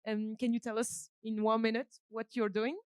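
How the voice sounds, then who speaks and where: Smooth-voiced woman moderating a panel. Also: on the high side, flat delivery, a little mellow, no echo, clean studio sound. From France. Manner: measured, with filler ums.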